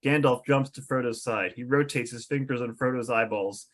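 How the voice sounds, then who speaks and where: A man's voice: medium pitch, moderate pace. A man reading scripted stage directions as narrator at an amateur comedic table read.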